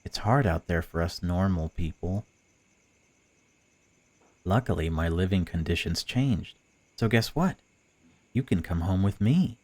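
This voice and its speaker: A man's voice: low-pitched, lively intonation, unhurried, long pauses, darkish tone. A man voicing a female stalker in a visual novel, a chatty, patronising captor who pretends to care. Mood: breezy, patronising. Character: cold, mocking female stalker, falsely caring captor. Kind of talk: visual novel dialogue read aloud.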